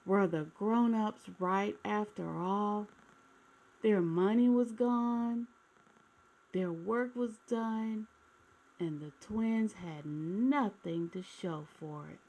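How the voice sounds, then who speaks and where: Female children's storyteller: unhurried delivery, creaky edge, expressive read-aloud that speaks straight to young listeners. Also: medium pitch. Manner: expressive, addresses children directly with questions.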